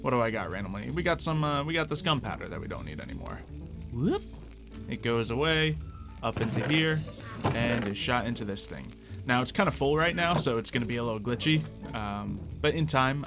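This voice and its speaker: A man with a mid-pitched voice commentates on gameplay, with music clearly playing underneath. He thinks aloud as he works through each step. His delivery is playful, given to exaggerated exclamations. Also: lively intonation, a little fast. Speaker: gaming video host. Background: background music.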